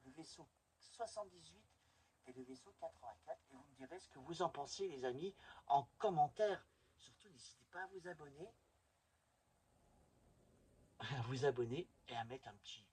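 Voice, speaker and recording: A man's voice: a little high, a bit bright, husky. A middle-aged man chatting enthusiastically and informally to viewers as he presents a hobby vlog. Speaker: video presenter showing a collection.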